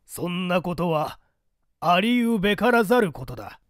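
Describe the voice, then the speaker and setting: A man's voice: high voice, big pitch swings, moderate pace, dry recording, clean studio sound, voice pushed up. A man voicing a reflective member of a secret society in an audiobook, speaking in a conversational way. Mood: disbelieving. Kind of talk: audiobook character acting.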